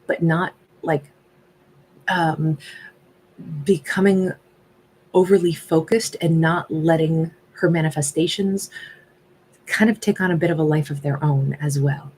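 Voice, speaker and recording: A woman's voice: sits low, steady tone, unhurried, long pauses, a little mellow, slight background noise, poor audio. A woman doing a casual live-stream tarot reading, talking in a loose, reflective way with frequent asides. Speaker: tarot reader.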